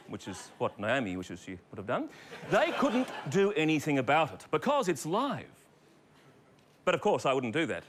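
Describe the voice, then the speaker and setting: A man's voice: on the high side, a bit bright. A man playing a vain, bitter, washed-up actor hosting a live television show, speaking with an Australian accent in a pompous, sarcastic manner. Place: television studio stage. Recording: live studio, noisy crowd.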